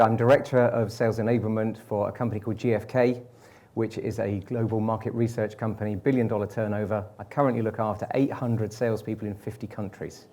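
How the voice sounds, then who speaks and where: Male panelist, rapid and low, dry humor. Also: darkish tone. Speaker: panelist, sales enablement director. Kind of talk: panel self-introduction.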